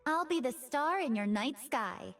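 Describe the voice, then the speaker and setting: A woman's voice: high-pitched, animated, a little slow, soft background music. An actress voicing a playful, confident female fox spirit pop star in video game voice lines, with a poised, teasing delivery. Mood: bright, determined.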